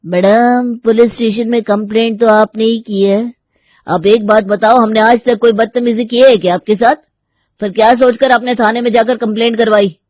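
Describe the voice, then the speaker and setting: A woman's voice: a little high, steady tone, normal speed, darkish tone, no room sound, close to an everyday voice. A woman voicing a cocky, confrontational young man loitering on the street, challenging and sarcastic. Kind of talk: animated story dialogue.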